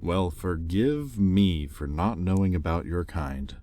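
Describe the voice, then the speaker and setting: A man's voice: low voice, takes it slowly, mellow. A man acting a teasing, sardonic demon captor in an intimate audio roleplay, arrogant yet curious, with a conversational manner. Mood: sardonic, defensive.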